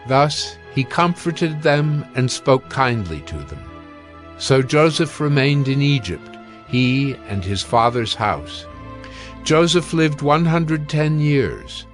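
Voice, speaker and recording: A man narrating scripture in a solemn, measured and formal scripted style. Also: mid-range voice, lively intonation, faint music behind.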